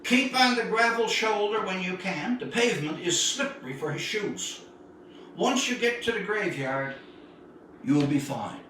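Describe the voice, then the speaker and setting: A man's voice: sits high, animated, sharp tone, full character voice. Man reading as stern father, echoey room. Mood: cautious, instructive. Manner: firm, instructive, measured. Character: stern, cautious father instructing his young son. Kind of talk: storybook reading. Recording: echoey room, distant mic, faint noise.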